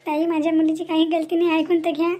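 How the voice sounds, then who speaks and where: A woman's voice: very high-pitched, little pitch movement, fast talker, warm tone. A woman voicing an anxious, aggrieved village mother in an amateur cartoon melodrama, pleading plaintively in a Varhadi Marathi accent.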